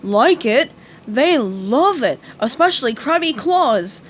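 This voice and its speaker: A woman reading a storybook aloud, voicing a greedy, jolly old male crab who runs a restaurant, with a gruff pirate-like bluster. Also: high-pitched, very animated, slow, slight background noise, heavily acted. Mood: pleased.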